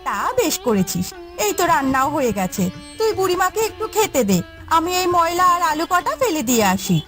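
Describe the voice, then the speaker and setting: A woman voicing a brisk, practical elder wife in a village cartoon, giving orders and explanations. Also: very high-pitched, sing-song, fairly bright tone, soft background music, full character voice. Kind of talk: cartoon voice acting.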